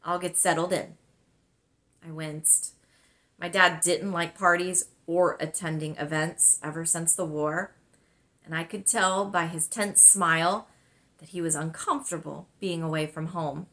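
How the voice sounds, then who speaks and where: A woman narrating a children's novel aloud as its author, with expressive, scripted storytelling delivery. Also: sits low, measured pace. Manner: expressive, clearly dramatized delivery.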